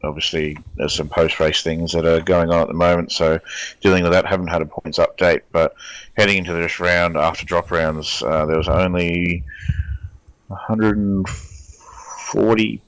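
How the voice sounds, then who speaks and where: An Australian race director in an online interview, explaining rulings in a measured way with frequent ums. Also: male voice, low voice, fairly even intonation, fairly bright tone, rough recording.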